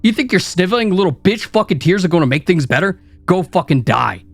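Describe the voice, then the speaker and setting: A man's voice: fairly low pitch, animated, a little fast, darkish tone, breathy edge, no room sound. A man voicing a cruel, sneering male inner critic that mocks and taunts relentlessly in a dramatized story reading. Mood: raging. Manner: mocking, taunting, vicious. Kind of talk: dramatized horror story narration.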